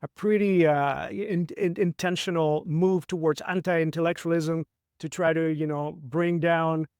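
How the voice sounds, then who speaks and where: A man's voice: on the high side, steady tone, a little slow, darkish tone, clear, resonant tone, no echo, clean studio sound. A middle-aged man from Chile, a martial artist and trainer, explaining ideas in a reflective way and often asking "right?". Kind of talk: conversational interview.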